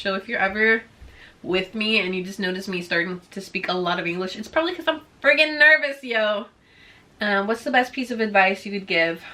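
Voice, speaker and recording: A woman's voice: medium pitch, pushed and bright. A woman of about twenty whose first language is Swedish, chatting bubbly and casual through a Q&A with her viewers. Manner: bubbly, chatty, heavy use of "like". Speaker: vlogger.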